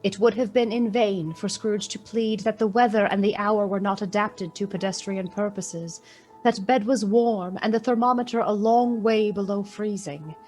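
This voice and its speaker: A woman playing the storyteller of a Victorian ghost tale, with measured, literary, expressive delivery in a radio play. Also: medium pitch, soft background music, lightly acted. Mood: measured, wondering. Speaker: narrator.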